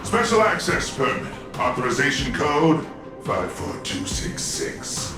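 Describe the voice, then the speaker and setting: A breezy young male pilot in a video game, dutiful yet chatty. His pitch moves in lively swings, and the sound is very echoey and distant. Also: unhurried. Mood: brisk, easygoing. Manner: chatty, casual, easygoing. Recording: very echoey, distant, music behind.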